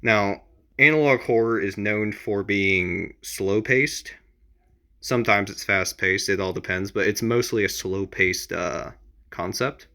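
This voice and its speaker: Low-voiced man with a creaky edge. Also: sharp tone. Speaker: amateur analog horror video creator giving advice. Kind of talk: casual explainer monologue.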